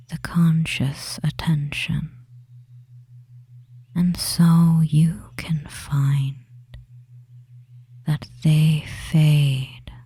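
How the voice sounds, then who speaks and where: A performer voicing a devious male witch in a soothing, breathy ASMR hypnosis whisper, pausing between short phrases. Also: medium pitch, dark tone, full character voice. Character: devious male witch lulling a captive, soft and controlling.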